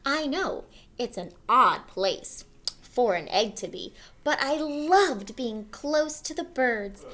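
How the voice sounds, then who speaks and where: A woman voicing an anxious, wistful egg who tells his own storybook tale in an earnest, expressive way. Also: on the high side, slow pace. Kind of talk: picture-book read-aloud.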